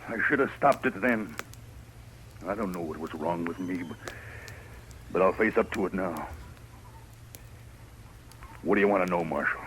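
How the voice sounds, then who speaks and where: A middle-aged frontier rancher with a low American voice. He sounds troubled, stubborn and ashamed, in old radio western style with light background noise. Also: a bit bright. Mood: resolved, remorseful. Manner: troubled, halting, defensive. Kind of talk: 1950s radio drama acting. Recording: noisy old radio recording.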